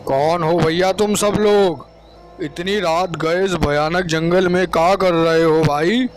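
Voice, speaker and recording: A man voicing a folksy village man in a cartoon horror story, with a dramatic drawn-out delivery. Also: high-pitched, steady tone, faint music behind, rough recording. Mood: alarmed, ominous. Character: rustic village man, alarmed, warning of witches.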